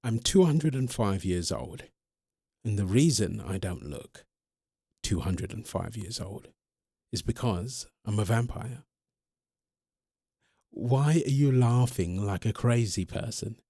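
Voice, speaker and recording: A man's voice: fairly low pitch, expressive, slow, pauses often, dark tone, husky, dry recording, clean studio sound, heavily acted. A man playing an earnest centuries-old vampire in love, polite and insistent, in an ASMR-style audio roleplay. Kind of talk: ASMR audio roleplay, one-sided interview.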